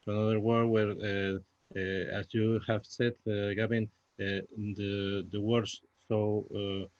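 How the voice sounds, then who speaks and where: A man with a Spanish accent, a native Spanish speaker, talks unscripted in a discussion, haltingly searching for words in his second language. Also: fairly low pitch, little pitch movement. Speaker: playwright. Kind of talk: unscripted post-show discussion. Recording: dull, muffled lo-fi audio.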